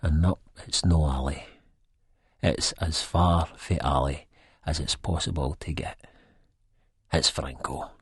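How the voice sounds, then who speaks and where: An actor playing a nervous, rambling, good-hearted Edinburgh drug-user with a husky Scottish voice, narrating an audiobook in dialect. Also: male voice, extremely low pitch, fairly even intonation, slow, pauses often, no room sound, studio quality, full character voice. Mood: downcast, rambling. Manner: rambling, colloquial, self-deprecating.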